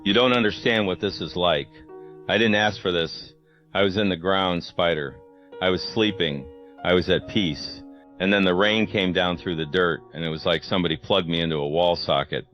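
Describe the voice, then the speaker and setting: A deep, anguished dead man, voiced by an actor pleads in a horror radio drama. He sounds tormented and begging. The recording is dull, with music behind him. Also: normal speed, natural voice. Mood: anguished pleading. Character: suffering, pleading undead man risen from grave.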